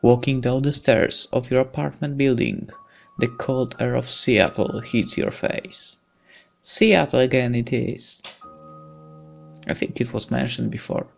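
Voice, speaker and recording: A let's player slowly reading a visual novel's story aloud in a hushed, theatrical way, with casual asides. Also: medium pitch, creaky, faint music behind. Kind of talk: slow dramatic read-aloud of game text with casual asides.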